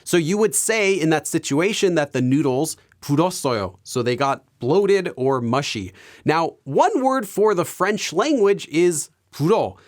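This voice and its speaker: A man teaching Korean on his video channel, explaining things clearly and laughing often. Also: a little high, animated, normal speed. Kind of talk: educational video commentary.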